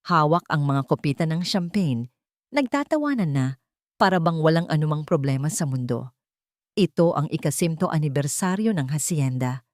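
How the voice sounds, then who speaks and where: A woman narrator tells a dramatic story in a scripted style. Her voice sits a little low and moves widely in pitch. The recording is close and dry. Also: animated, normal speed, darkish tone, no room sound.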